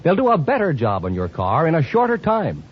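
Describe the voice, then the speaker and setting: A man's voice: on the high side, wildly swooping pitch, fairly quick, husky. A man reading scripted sponsor announcements in a polished, salesmanlike way on a muffled 1950 radio broadcast.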